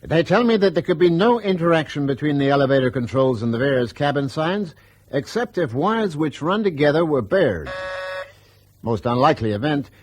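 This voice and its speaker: An actor playing a brisk, commanding aviation boss in a radio drama, curt and authoritative. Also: male voice, on the high side, lively intonation, no room sound. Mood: brisk, reassuring.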